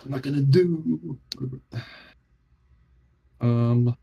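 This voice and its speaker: A man from New Jersey chatting casually as he plays a livestreamed card game, talking through his moves with drawn-out pauses. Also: mid-range voice, gravelly, warm tone, breathy edge, highs cut off.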